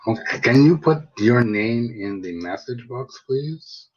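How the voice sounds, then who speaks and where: A man's voice: mid-range voice, animated, unhurried, husky. A man tutoring English on a video call, patiently asking simple questions. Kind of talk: online English lesson conversation. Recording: dull lo-fi video call audio.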